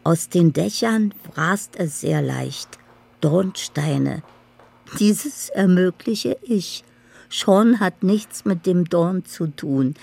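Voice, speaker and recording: A dreamy older woman in a radio play, speaking in a low and gravelly voice. She recites her thoughts like poetry, slowly and without hurry, over faint music. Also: darkish tone, heavily acted. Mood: dreamy, poetic. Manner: slow, poetic, measured delivery. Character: dreamy older woman in assisted living, poetic letter writer and reminiscer. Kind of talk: radio drama monologue.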